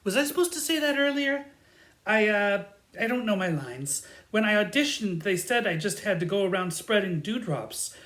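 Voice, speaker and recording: A woman's voice: mid-range voice, expressive, moderate pace, fairly bright tone, roomy sound, natural voice. A woman playing an awkward, unprepared fairy servant, hesitant and flustered, in a comic audio drama. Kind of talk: theatrical comedy audio drama.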